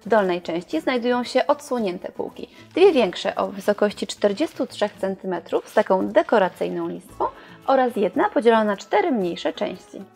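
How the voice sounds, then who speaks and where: A young woman presenting and reviewing furniture in a showroom, in a clear, explanatory scripted delivery. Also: medium pitch, animated, moderate pace, darkish tone, faint music behind.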